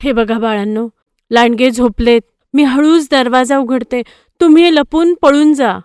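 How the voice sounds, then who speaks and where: A woman voicing an anxious, self-sacrificing mother goat in an animated story, pleading and tender. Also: high voice, measured pace, clean tone, no room sound, studio quality, soft background music. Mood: tender, urgent. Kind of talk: animated story character voice acting.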